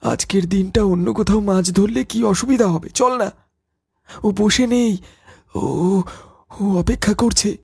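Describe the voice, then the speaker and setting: A man voicing a frightened, superstitious young villager in a cartoon ghost story, husky and hesitant, earnest and nervous. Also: high-pitched, takes it slowly, long pauses, a little mellow, no echo, clean studio sound, exaggerated voice. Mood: uneasy, pleading. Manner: hesitant, stammering in fear.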